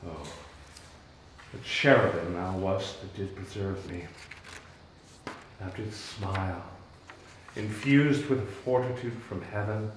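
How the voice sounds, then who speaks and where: Man acting a wronged exiled duke, bitter, commanding, Shakespearean stage delivery, very slow, echoey room. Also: lowish voice, slight background noise. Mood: solemn, tender. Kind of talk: Shakespearean dramatic reading.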